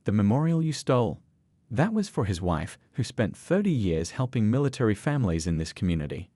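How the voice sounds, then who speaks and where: A man's voice: fairly low pitch, very animated, a little fast, warm tone, dry recording. A man voicing a commanding old veteran biker leader with a stern, authoritative manner in a narrated story. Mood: firm, commanding.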